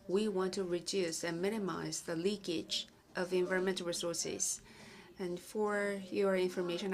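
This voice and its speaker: A woman giving a simultaneous interpretation of a conference presentation, measured and with small self-corrections. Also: fairly low pitch.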